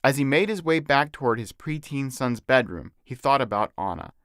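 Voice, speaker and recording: Animated male audiobook narrator. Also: mid-range voice, normal speed, clean tone, dry recording, clean studio sound. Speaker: author reading his novel.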